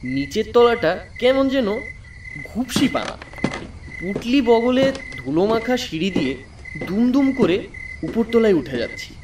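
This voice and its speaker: High, animated storytelling man as a schoolboy narrator. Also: unhurried, distant mic, noisy background, full character voice. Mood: animated recounting. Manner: expressive storytelling. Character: runaway schoolboy recounting a ghostly adventure. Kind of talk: dramatic first-person story narration.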